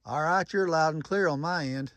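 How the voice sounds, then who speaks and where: A man's voice: fairly high pitch, normal speed, a bit bright, a little hiss or hum. A man giving casual, plain-spoken running commentary and making radio check calls in a folksy way. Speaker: vlogger testing walkie-talkies.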